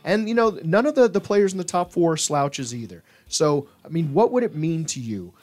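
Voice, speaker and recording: A man hosting a sports podcast in a studio, talking conversationally and somewhat haltingly with enthusiastic emphasis. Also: on the high side, very animated, a little mellow. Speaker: podcast host. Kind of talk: lively sports podcast discussion.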